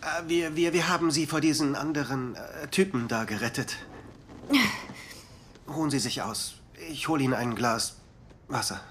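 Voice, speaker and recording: A man playing a bewildered, blunt sidekick in a dubbed TV drama, with an agitated, halting delivery. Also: medium pitch, fairly bright tone, a little hiss or hum, close to an everyday voice. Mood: blunt, amazed. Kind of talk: dubbed screen drama dialogue.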